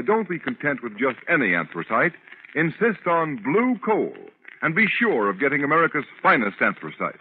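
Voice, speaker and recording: A man announcing a scripted 1930s radio commercial in an emphatic, formal sales style. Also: fairly high pitch. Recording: tinny, hissy 1930s radio recording.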